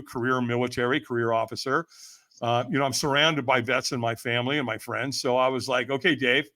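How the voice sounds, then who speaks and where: A man's voice: mid-range voice, bright, forceful tone. Older man, emphatic slangy storyteller. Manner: emphatic, slangy, acts out remembered dialogue. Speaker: podcast guest, firewalking event leader. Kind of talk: energetic storytelling in casual interview.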